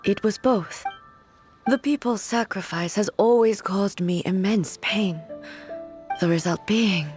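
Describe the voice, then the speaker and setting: A woman playing a reserved, resolute immortal ruler in a video game, speaking in a formal, grave, measured way. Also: medium pitch, darkish tone, natural voice. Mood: solemn, resolute. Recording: music behind the voice.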